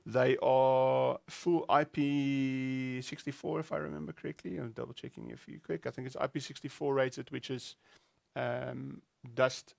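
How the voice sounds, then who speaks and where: A man's voice: mid-range voice, level tone, a little slow, vocal fry, a little mellow, dry recording. A middle-aged man explaining product specifications in an informal talk, a little hesitant and often repeating words as he searches for details. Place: small indoor studio set at a desk.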